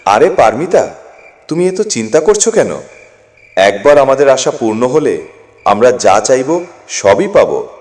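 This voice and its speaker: A man acting a poor, hardworking farmer father, gentle and self-sacrificing, in a dramatised moral story. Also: medium pitch, very animated, unhurried, long pauses. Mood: reassuring. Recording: long echoing reverb.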